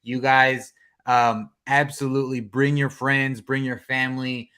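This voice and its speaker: Bright-voiced excitable host. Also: male voice, mid-range voice, a little slow, clean tone. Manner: excitable, laughs often, many ums. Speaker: podcast host. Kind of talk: casual fan podcast chat.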